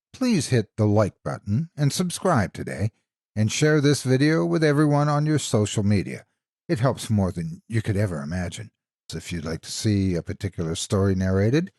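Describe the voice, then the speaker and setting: A man narrating scripted stories as a horror narrator, deliberate and dramatic in his delivery. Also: mid-range voice, a little mellow. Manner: deliberate, suspenseful storytelling.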